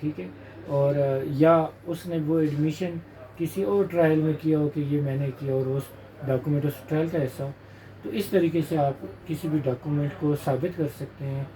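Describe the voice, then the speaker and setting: A man's voice: a little high, steady tone, moderate pace, mellow, low-quality recording. A man with a Pakistani accent explaining law as a lawyer, informally and methodically, point by point. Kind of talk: informal spoken legal explanation.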